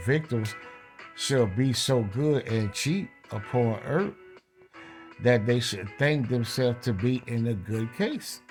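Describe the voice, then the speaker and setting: A man's voice: medium pitch, darkish tone. A man preaching in a scripture read-along, emphatic, repeating phrases and checking in with rhetorical questions.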